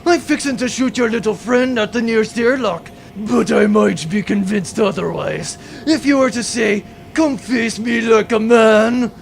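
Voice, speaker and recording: An actor playing a vain, blustering pirate villain in a comic sci-fi audio drama, full of florid oaths and theatrical threats. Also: male voice, high-pitched, measured pace, creaky, hardly any pauses, faint music behind. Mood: furious.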